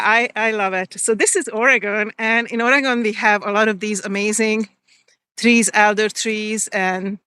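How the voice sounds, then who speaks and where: Woman host of a walking live stream, smooth and clear, talks casually to viewers. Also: medium pitch, a bit bright, dry recording, rough recording. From Hungary. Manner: chatty, reads viewer comments aloud, pauses and restarts. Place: outdoors on a forest walk.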